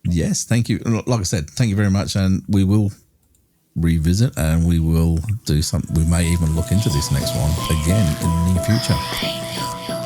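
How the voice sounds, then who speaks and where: A man with a low, mellow voice hosts a podcast. He chats casually and muses aloud in a friendly, easygoing tone. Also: moderate pace, soft background music. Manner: chatty, musing, friendly. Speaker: podcast host.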